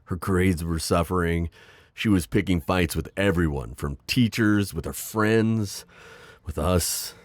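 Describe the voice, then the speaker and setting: A man voicing a gentle, self-deprecating divorced construction-worker dad in an ASMR roleplay, hesitant and stammering with soft jokes. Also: deep voice, unhurried, no room sound, clean studio sound. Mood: earnest. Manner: hesitant, full of ums and stammers, joking softly. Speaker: voice actor.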